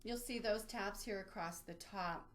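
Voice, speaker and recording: A woman's voice: medium pitch, a little slow, a bit bright, faint music behind. A woman presenting an instructional webinar, explaining things step by step as she walks through a screen demonstration. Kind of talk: instructional screen-share walkthrough.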